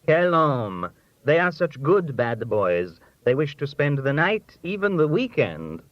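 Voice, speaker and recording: A worldly young Parisian showgirl, as a male reader plays her in an audiobook, sighs wistfully in drawn-out phrases. The pitch lifts above plain narration, and the sound is dry and slightly dull. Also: big pitch swings, no echo. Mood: sighing, wistful. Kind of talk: acted quote in audiobook reading.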